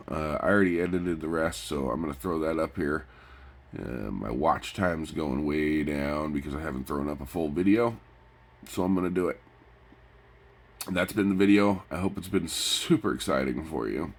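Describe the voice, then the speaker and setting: A man with a stuffy nose chats casually in a personal vlog, rambling and halting, often repeating his phrases. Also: rumbling low. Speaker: vlogger. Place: recorded lying down.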